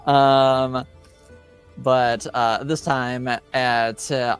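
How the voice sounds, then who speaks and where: Slow, halting man recapping a tabletop game. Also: mid-range voice, clear, resonant tone. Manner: halting, hesitant delivery with long filled pauses. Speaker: game master. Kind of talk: recapping a tabletop roleplaying session.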